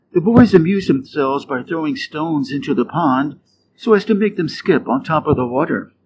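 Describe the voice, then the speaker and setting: An American man from the Midwest reading short fables and quiz questions clearly and deliberately for English learners. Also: on the high side, darkish tone. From Wisconsin, USA. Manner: clear, deliberate, rising quiz intonation on answer choices. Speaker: English teacher reading stories. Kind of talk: slow scripted storybook reading for learners. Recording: dull lo-fi sound with faint noise.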